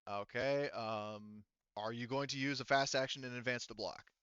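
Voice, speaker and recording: Male game master, bright crisp voice, dry close sound, slightly dull recording, casual rules talk, thinking aloud. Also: mid-range voice, fairly even intonation, normal speed, no echo. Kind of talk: casual tabletop game narration, explaining rules.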